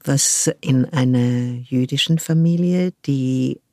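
An older woman from France, speaking thoughtfully as an interview guest and pausing often to search for the right word. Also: rumbling low, warm tone, dry recording, clean studio sound. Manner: thoughtful, searching for words with frequent pauses. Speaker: guest, cultural manager. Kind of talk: reflective conversational interview.

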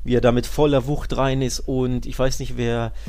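Man, mid-range voice, podcast co-host, casual football discussion, colloquial, measured analysis. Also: medium pitch, little pitch movement, darkish tone.

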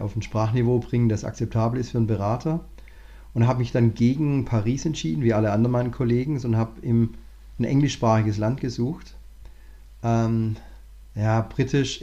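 Male consultant guest, mellow tone, halting and thoughtful, slightly muffled recording. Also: mid-range voice. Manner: thoughtful, many fillers and restarts. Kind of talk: relaxed interview conversation. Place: lives in Cape Town, remote interview.

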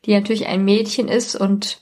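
A woman's voice: mid-range voice, little pitch movement, moderate pace, a little mellow. A woman in casual podcast conversation, talking informally and personally. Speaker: podcast guest or co-host.

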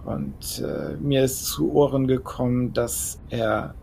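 A man playing a thoughtful, scholarly vampire in an audio drama, speaking in halting, hesitant, formally worded phrases. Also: mid-range voice, sing-song, creaky, mellow, slight background noise, heavily acted. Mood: pensive, hesitant. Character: thoughtful, scholarly male vampire sharing half-remembered knowledge. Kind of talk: audio drama role-play dialogue.

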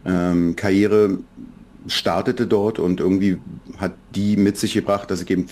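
Low-voiced reflective German man. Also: level tone, measured pace, darkish tone. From Berlin, Germany. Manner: reflective, many fillers and restarts. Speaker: children's book author, guest. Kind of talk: relaxed podcast conversation.

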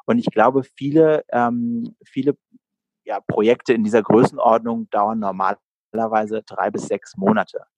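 A man's voice: on the low side, fairly even intonation, unhurried, a little mellow, no echo, lo-fi sound. A man in his late twenties from Berlin, answering interview questions conversationally as a guest entrepreneur. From Berlin, Germany.

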